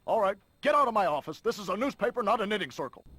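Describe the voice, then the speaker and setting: An actor playing a brash, impatient middle-aged newspaper boss in a video game cutscene, raspy and barking out every line. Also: male voice, high voice, animated, rapid-fire, pushed and bright, heavily acted. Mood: dismissive. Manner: barking, bombastic.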